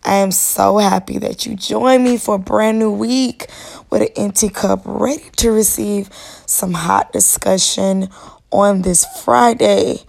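A young woman hosting a solo podcast, her voice raspy and worn, talking in a casual, chatty, encouraging way. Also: medium pitch, fairly even intonation, unhurried, darkish tone. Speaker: podcast host.

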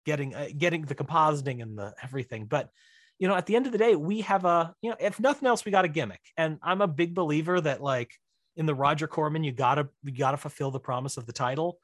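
A man's voice: a little high, fast talker, a bit bright, no room sound. Chatty man with a dry, close sound. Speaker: interview guest, filmmaker. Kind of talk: casual chat, telling behind-the-scenes stories.

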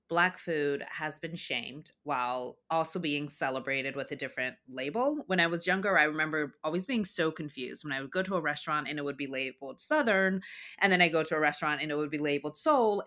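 Deep, bright-toned woman host. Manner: reflective, curious, asks questions. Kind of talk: conversational podcast interview.

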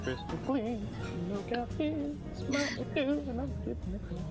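Man doing a clueless brash date guy, goofy, over-eager, bouncy lively voice, music behind. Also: very high voice, normal speed. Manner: goofy, over-eager, blurts odd remarks. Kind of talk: comedy radio play.

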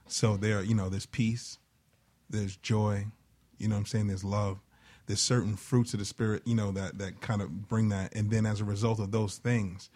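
A man's voice: fairly low pitch, a little mellow. A man talking conversationally as a guest in a casual panel discussion.

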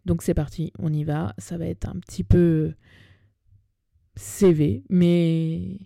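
Female podcast host, 30, Parisian accent. Lowish, very mellow voice; chatty, colloquial, self-deprecating solo delivery. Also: steady tone, slow, vocal fry, no echo, clean studio sound. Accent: Parisian French. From Paris, France. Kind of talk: personal monologue podcast, semi-scripted narration. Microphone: close podcast microphone.

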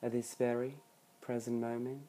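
A meditation guide speaking soothingly and softly in short phrases with long pauses between them. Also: medium pitch, little pitch movement, low-quality recording. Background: background music.

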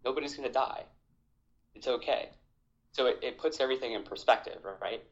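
A man in his thirties from Hawaii, an army veteran talking as a podcast guest in a measured, reflective way. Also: mid-range voice, muffled recording. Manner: reflective, measured, with fillers and restarts. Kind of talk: conversational interview.

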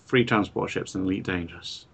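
A man's voice: low-pitched, fairly quick, gravelly, a bit bright, breathy edge. A man co-hosting a casual gaming podcast, explaining his points at length. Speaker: podcast co-host.

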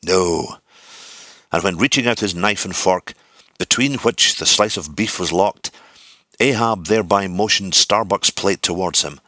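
A man reading literary narration from a script, with deliberate, theatrical phrasing. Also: deep voice, sharp tone, no room sound. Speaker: audiobook reader.

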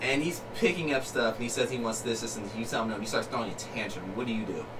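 Excitable fast-talking young man. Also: medium pitch, distant mic. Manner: excitable, storytelling, laughing often. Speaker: podcast co-host. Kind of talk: casual chat. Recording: off-mic with noisy background.

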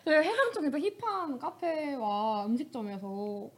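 A young woman hosting a radio show, chatting politely and giggly in an animated way with her guest. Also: sits high, normal speed. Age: young adult. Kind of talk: casual friendly interview chat with scripted segues.

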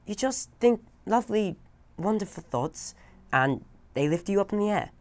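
A woman's voice: mid-range voice, expressive, moderate pace, darkish tone, close to an everyday voice. A woman voicing a cocky, carefree boy in a storybook, offhand and boastful. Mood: airy, instructive.

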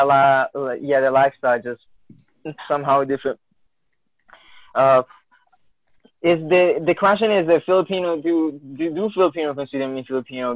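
A man's voice: medium pitch, dry recording. Man on a tinny line, hesitant. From Italy. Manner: hesitant, many restarts and fillers. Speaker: guest. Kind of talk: casual conversation.

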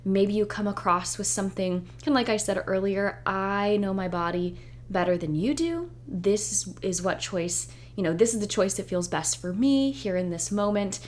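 Hoarse, chatty woman podcast host. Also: mid-range voice, normal speed, slight background noise. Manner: chatty, upbeat and reassuring. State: hoarse, nearly losing her voice. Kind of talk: solo podcast intro monologue.

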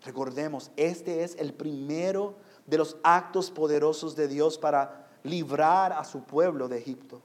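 Male preacher, high-voiced, native English speaker. Oratorical sermon delivery, deliberate phrasing, shifts from hushed to forcefully proclaimed. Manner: oratorical, swinging from hushed lines to loud emphatic proclamation; deliberate phrasing with rhetorical questions. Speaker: pastor preaching to a congregation. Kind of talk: expository sermon.

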